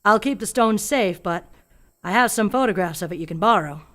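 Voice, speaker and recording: An actress playing a fearful but stubborn older rural woman in a radio play, speaking gravely and deliberately. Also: medium pitch, lively intonation, a bit bright, natural voice. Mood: uneasy, resolute. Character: fearful, stubborn old rural woman guarding alien secrets.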